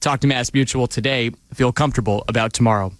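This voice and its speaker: A man hosting a radio pregame show from the studio, moving from somber remarks on sad news to brisk sponsor reads. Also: medium pitch, faint music behind. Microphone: studio microphone.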